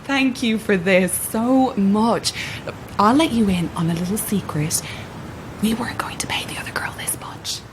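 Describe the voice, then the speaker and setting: A woman playing a brisk, polite castle employee, confiding yet evasive, in a naturalistic audio horror drama. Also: medium pitch, noisy background, close to an everyday voice. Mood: gracious, confiding. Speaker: actor.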